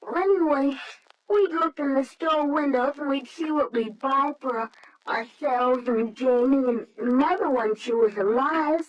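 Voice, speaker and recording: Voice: sits high, measured pace, highs cut off. A performer playing an earnest, anxious young boy in a radio drama, hesitant and pleading, on an old recording. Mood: shy, hopeful.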